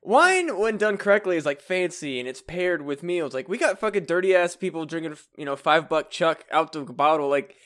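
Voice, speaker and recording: A man's voice: fairly high pitch, expressive, normal speed, few pauses, fairly bright tone. A young man co-hosting a comedy podcast, rambling and swearing as he chats and laughs often. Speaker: podcast co-host. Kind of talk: casual comedic chat.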